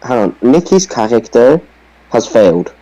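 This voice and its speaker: Guy on a wrestling pod, normal pitch, blunt and opinionated, just casually debating. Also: big pitch swings, a little slow, mellow, no room sound, muffled recording. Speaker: podcast panelist.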